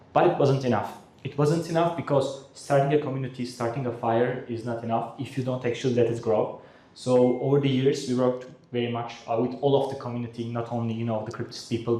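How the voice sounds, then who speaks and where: Young Turkish-accented co-host, mid-range voice, earnest speech, heavy hall echo. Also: male voice, medium pitch, dark tone. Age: 20s. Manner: earnest, with frequent uhs. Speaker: conference co-host. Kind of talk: welcome speech on stage. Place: conference hall. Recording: very echoey hall.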